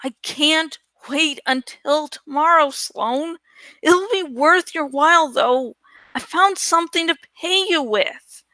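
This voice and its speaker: Woman as young male storybook villager, high bright voice, earnest, stubborn, insistent. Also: takes it slowly, dry recording, heavily acted, rough recording. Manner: insistent, protesting. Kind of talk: dramatised storybook reading.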